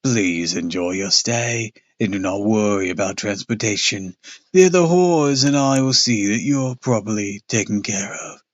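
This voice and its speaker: Gruff, low monster greeter, slow and creepily courteous, voiced by a male reader. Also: no room sound, dull audio, natural voice. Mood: eerie calm. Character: gruff, low-voiced monster ticket taker, eerily polite.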